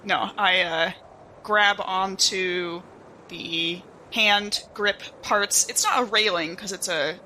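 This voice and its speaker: A woman's voice: mid-range voice, slow, bright, forceful tone, slight background noise, rough recording. A woman playing a tabletop roleplaying game, chatting casually in a playful, joking way. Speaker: player.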